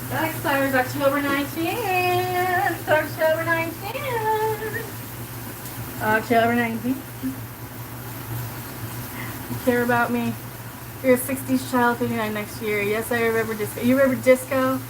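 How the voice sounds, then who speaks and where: A woman's voice: sits high, unhurried, pauses often. Rambling South Kansas woman over a sizzling pan. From Colorado. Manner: chatty, rambling, heavy use of "like", answers chat aloud. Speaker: livestreamer. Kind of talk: casual live-stream chat while cooking. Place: home kitchen. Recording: sizzling pan noise behind.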